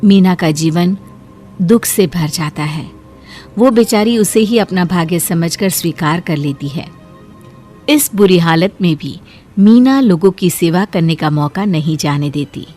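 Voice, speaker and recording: A woman's voice: on the low side, measured pace, faint music behind. Woman narrating a cartoon story. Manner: steady, clear storytelling.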